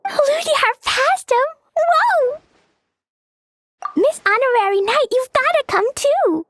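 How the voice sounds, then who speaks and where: A woman's voice: extremely high pitch, animated, takes it slowly, heavy background noise, exaggerated voice. A woman voicing an earnest, innocent, anxious little girl in a video game, with a childlike, dramatic delivery. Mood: excited. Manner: childlike, earnest, dramatic, refers to herself by name. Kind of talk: scripted video game character acting.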